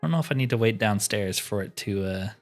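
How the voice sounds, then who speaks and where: A man's voice: lowish voice, expressive, fast, soft background music. A man giving casual commentary as he plays a roleplaying video game. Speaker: gaming video host. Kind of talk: casual roleplay gameplay commentary, reading dialogue aloud.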